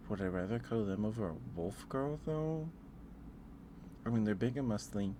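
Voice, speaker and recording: A man doing a casual, rambling ASMR livestream, speaking in a hesitant, musing way and repeating himself over clear background noise. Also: deep voice. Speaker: live streamer.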